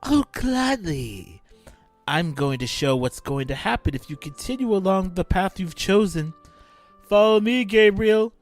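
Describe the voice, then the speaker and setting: A man playing a deranged, gleeful killer in a fan audio drama, theatrical, gloating and mocking, with drawn-out words. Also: sits high, expressive, soft background music.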